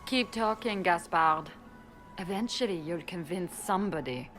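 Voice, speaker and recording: An actress playing a guarded, cutting elven ambassador in a fantasy game, dry and pointed. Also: female voice, on the low side, expressive, normal speed, fairly bright tone, faint music behind.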